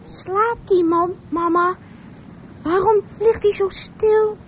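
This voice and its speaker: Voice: very high voice, steady tone, slow pace, slight background noise. An actor playing a small, curious, insistent child in a radio drama. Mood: whining, curious.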